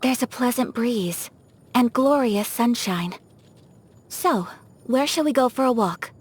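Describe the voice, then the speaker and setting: A woman playing a gentle, refined young noblewoman in a video game, speaking with formal, poetic, soft-spoken politeness. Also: a little high, lively intonation, takes it slowly, slightly rough, full character voice. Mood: serene, poetic. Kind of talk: scripted video game character voice lines. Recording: music behind the voice. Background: music.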